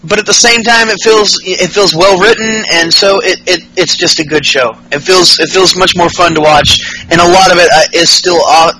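A man's voice: sits high, steady tone, normal speed, few pauses, background noise. A man in his late twenties co-hosting a podcast, chatting casually about wrestling, profane and rambling with many restarts. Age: 20s. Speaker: podcast co-host.

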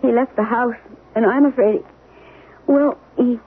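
An actress in an old-time radio drama playing an anxious wife, hesitant and gently soothing. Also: female voice, a little high, steady tone, normal speed, breathy edge, narrow, tinny audio. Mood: worried. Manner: worried, hesitant, placating. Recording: thin, hissy old radio broadcast.

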